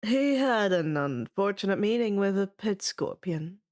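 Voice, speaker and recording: A woman playing a jaded, sardonic male god in an audiobook, with a deadpan drawl. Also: mid-range voice, a little slow, lightly acted. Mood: drawling, flat.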